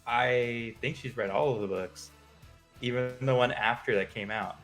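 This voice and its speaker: A man chats casually as co-host of a live stream. His voice is medium in pitch and his talk is informal and conversational, with faint music behind him. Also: normal speed, fairly bright tone.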